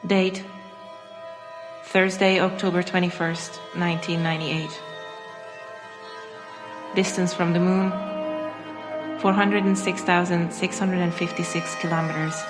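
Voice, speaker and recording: An actress playing an impersonal announcer, reading a log entry in a clipped, detached manner. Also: female voice, on the low side, little pitch movement, a little slow, long pauses, close to an everyday voice. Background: music.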